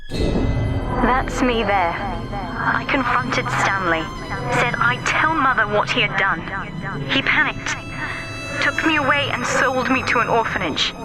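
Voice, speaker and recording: A woman's voice: fairly high pitch, animated, slow, pauses often, low-quality recording. A woman playing an earnest, wronged teenage girl in a video game, confiding her story to her father. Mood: bitter, hurt. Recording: game audio under loud music.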